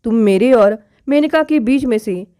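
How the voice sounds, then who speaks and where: High-voiced, indignant wronged wife, read by a woman. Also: animated, measured pace, mellow, smooth. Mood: indignant, hurt. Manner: indignant, challenging, rhetorical questioning. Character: proud, wronged modern wife confronting her husband.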